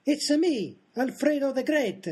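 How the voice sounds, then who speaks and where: An actor voicing an indignant, boastful Italian high diver in a radio comedy, with an Italian accent and insistent broken-English complaining. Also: male voice, lively intonation, measured pace, very noisy. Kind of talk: old-time radio comedy acting.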